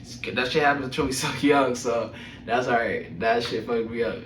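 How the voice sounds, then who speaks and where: A man's voice: mid-range voice, normal speed, fairly bright tone. A young adult man telling personal stories casually, with slangy, profane phrasing and a chuckling manner. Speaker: storyteller host of a personal podcast. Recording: echoey room, distant mic, faint noise.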